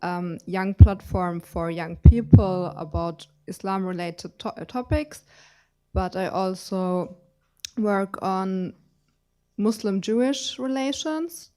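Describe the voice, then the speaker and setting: A young woman from Germany in her twenties introduces herself to a panel. Her voice is warm and mellow, and her delivery is shy and hesitant. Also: medium pitch, slow pace. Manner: shy, hesitant, laughs softly. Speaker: panelist, student.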